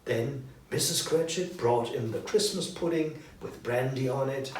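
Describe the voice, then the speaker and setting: A man narrating a story expressively from a script, with dramatic, clearly separated phrases. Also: mid-range voice, measured pace, darkish tone. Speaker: narrator, audiobook reader. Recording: roomy, distant, faint background noise.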